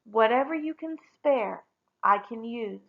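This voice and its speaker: A woman's voice: on the high side, expressive, unhurried, muffled recording. A woman voicing a brave, poor young outcast girl in a storybook, earnest and assured. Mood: earnest, sure.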